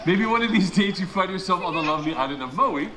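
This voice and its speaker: A man telling stories live to guests in a playful, folksy showman's way, with dramatic pauses. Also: high voice, animated, noisy background. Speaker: resort storyteller. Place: outdoor poolside deck at a resort. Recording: echoey live outdoor sound, music behind. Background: musical instrument.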